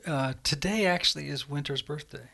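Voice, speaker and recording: A man hosting a podcast, asking relaxed, hesitant questions in a casual interview chat. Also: fairly high pitch, normal speed, creaky. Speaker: podcast host.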